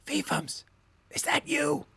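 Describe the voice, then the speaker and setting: An actor playing an awkward, anxious young loner in an audio drama, hesitant and stammering. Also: male voice, very high voice, very animated, slow pace, vocal fry, sharp tone, full character voice. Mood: tentative.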